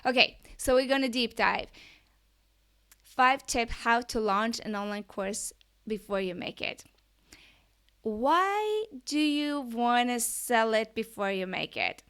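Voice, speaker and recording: Norwegian lady coach doing a podcast, kinda high voice, peppy and chatty. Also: lively intonation, slow, a bit bright, smooth. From Norway. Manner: upbeat, eager and chatty, often asking the listener questions. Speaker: online business coach. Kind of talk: podcast monologue.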